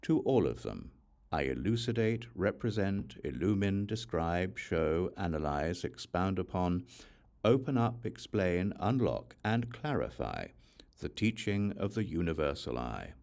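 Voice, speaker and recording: A man narrating a scripture audiobook in measured, solemn phrases. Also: low-pitched, very animated, darkish tone.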